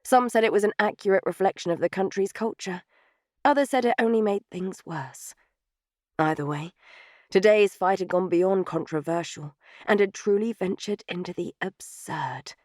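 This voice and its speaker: A woman's voice: mid-range voice, normal speed, a little mellow, no echo, studio quality. A woman reading scripted third-person fiction as an audiobook narrator.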